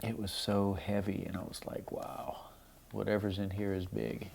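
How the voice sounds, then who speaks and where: A man's voice: on the low side, little pitch movement, moderate pace, creaky, darkish tone, slight background noise. A middle-aged man, a son reading a personal letter aloud and reflecting on it.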